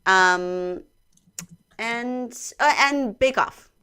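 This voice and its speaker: A woman's voice: on the high side, very slow, sharp tone, smooth. A woman from Canada, a guest comedian, chatting casually on a livestream in a joking, playfully teasing way.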